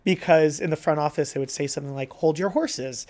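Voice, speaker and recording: A woman in a casual chat, talking in quick asides that trail off and restart. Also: deep voice, crowd noise.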